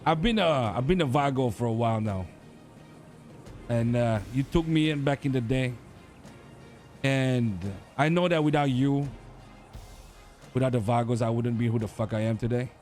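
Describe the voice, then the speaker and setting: A man with an ordinary middle pitch talks in a slow, halting way, full of filler words. He is speaking in character in a roleplay game. Faint music runs behind him. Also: darkish tone. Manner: hesitant, halting confession with many fillers. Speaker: gang member in a roleplay server.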